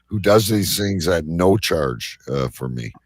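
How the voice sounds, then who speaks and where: A man's voice: low voice, expressive, a little slow. A man hosting a live show with casual, jokey banter and a rambling manner. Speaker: host of a live online show.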